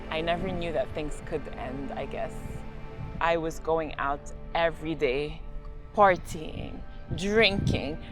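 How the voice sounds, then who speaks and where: Low-voiced young woman, reflective. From Lebanon and Liberia. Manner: reflective, unhurried, with casual fillers. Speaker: sprinter. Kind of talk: documentary interview and voice-over.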